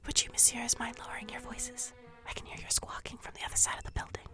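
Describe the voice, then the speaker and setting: A woman's voice: mid-range voice, lively intonation, brisk, lightly acted. A woman voicing a haughty, condescending male librarian in a video game, clipped and scornful. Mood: hushed.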